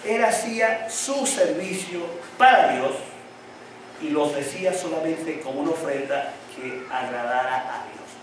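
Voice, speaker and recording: Male preacher, higher than most, call-and-response style, slight tongue-tie; echoey church hall, mic at a distance. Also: a little slow, slight background noise. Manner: call-and-response questions to the congregation; says he has a tongue-tie that tangles his speech. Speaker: pastor. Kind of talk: sermon.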